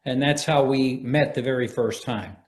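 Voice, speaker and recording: A man's voice: medium pitch, normal speed. A man, president of an organization, giving a plain, fluent interview testimony.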